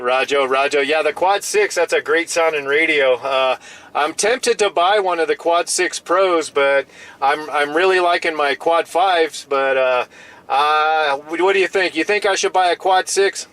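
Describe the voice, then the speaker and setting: A man with a fairly high voice chats amiably from inside his parked truck as a mobile radio operator. He uses casual radio lingo and tends to double up his phrases. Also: unhurried, a bit bright. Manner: friendly, chatty, often repeats himself. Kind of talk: casual radio contact chat, using operator jargon.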